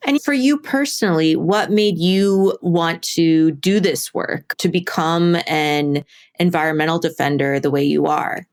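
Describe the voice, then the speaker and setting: A woman working as an interviewer, asking measured, carefully phrased questions as a journalist. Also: sits low.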